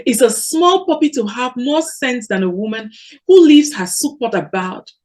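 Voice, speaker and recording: A woman reading aloud, voicing a quarrelsome young village wife who scolds in a shrill, sneering voice. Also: lively intonation, takes it slowly, darkish tone. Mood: shrill quarrel. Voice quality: shrill, sneering sing-song.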